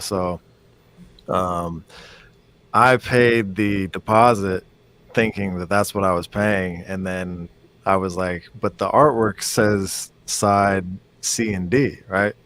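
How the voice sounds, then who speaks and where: A man's voice: sits low, fairly even intonation, takes it slowly, a bit bright, slight background noise. A man as a guest musician in a casual video call chat, rambling with many fillers and restarts.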